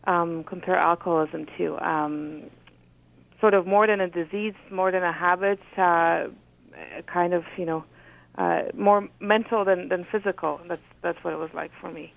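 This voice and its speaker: Polish-born woman with a gravelly voice. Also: fairly low pitch, fairly even intonation, moderate pace. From Poland. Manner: thoughtful, hesitant with frequent ums. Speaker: author, guest. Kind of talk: reading from her own book and chatting. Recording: phone-like radio audio.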